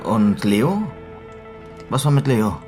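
A man's voice: on the low side, animated, takes it slowly, music in the background. Earnest male western hero. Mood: concerned. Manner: serious, questioning. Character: earnest, concerned western hero asking probing questions. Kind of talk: German radio play acting.

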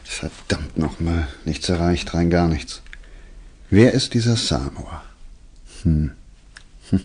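A man's voice: low-pitched, expressive, takes it slowly, a little mellow, breathy edge, exaggerated voice. A man playing a brooding, self-assured, secretive detective in a German radio play, clipped and commanding in manner. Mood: brooding, frustrated. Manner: muttering to himself, clipped, commanding.

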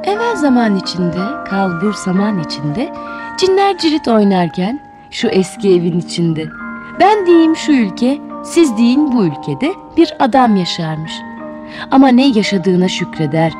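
A woman's voice: medium pitch, measured pace, music in the background. A woman storyteller narrating a fairy tale expressively, speaking directly to children. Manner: animated, addresses child listeners directly.

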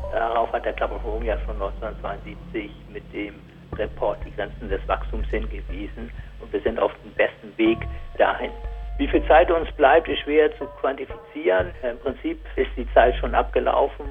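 A man's voice: medium pitch, creaky, phone-quality sound, lightly acted. A man as a grave, matter-of-fact scientist giving an expert statement in the manner of a radio interview. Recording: thin radio-like band with music.

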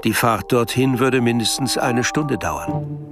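A man's voice: mid-range voice, measured pace, music in the background. A man reading scripted literary narration for a radio play.

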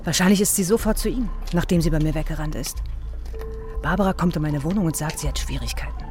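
A guarded East Berlin woman voiced by an actress in a radio crime drama. She is anxious yet matter-of-fact, with hushed, clipped answers. Music is clearly heard behind her. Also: sits low, fast talker, lightly acted. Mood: anxious, tense.

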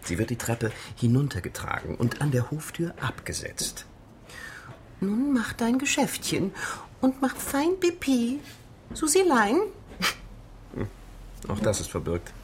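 Animated, sardonic grumbler. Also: male voice, sits high, a little slow, long pauses, heavily acted. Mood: sardonic, exasperated. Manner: dry, sardonic, clipped reporting. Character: sardonic male amateur sleuth, grumpy dog-hater. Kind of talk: German radio crime drama acting. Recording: noisy ambience behind the voice.

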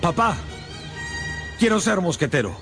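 An actor playing an earnest, determined young would-be musketeer in a radio drama. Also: male voice, sits high, very animated, a little slow, gravelly, a bit bright, faint music behind, exaggerated voice. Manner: earnest, pleading. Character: eager, determined young aspiring musketeer swordsman.

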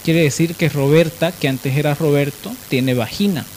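Un hombre con acento boliviano que conduce un programa de radio hablado, contando biografías de manera informal y corrigiéndose al hablar. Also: male voice, fairly high pitch, normal speed, a little mellow. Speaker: radio show host. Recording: clear background hiss.

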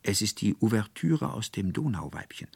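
Man acting a gravelly, hapless young student. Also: lowish voice, moderate pace, no room sound, exaggerated voice. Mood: wistful, indignant. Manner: excitable, theatrical monologue with mimicked polite phrases. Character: hapless, self-pitying young male student lamenting his luck.

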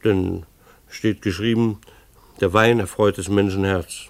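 Creaky, dryly recorded ironic family father. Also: on the low side, fairly even intonation, a little slow, no echo. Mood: wry, deliberate. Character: harried, ironic husband and father narrating family chaos. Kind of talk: literary radio drama narration.

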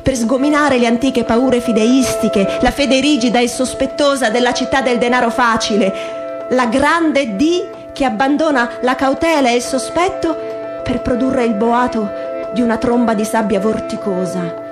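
A woman giving a dramatic literary reading in measured, emphatic phrases, in the style of radio theatre. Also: on the high side. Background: music.

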